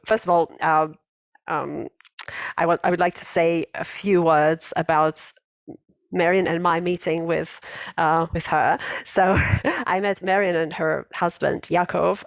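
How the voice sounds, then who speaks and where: A woman's voice: on the low side, no echo. Chatty woman hosting a video-call class. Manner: chatty, laughs often, many restarts. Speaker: host of an English conversation class. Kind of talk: casual online class chat.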